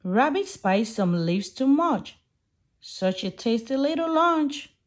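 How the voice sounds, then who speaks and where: A woman reading a picture book aloud to young children in an expressive way, putting on animal sounds. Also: high voice, takes it slowly.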